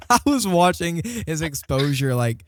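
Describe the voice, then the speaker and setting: A man's voice: fairly high pitch, very animated, a little slow. A man on a podcast panel chatting in casual banter, informal and jokey, repeating short phrases.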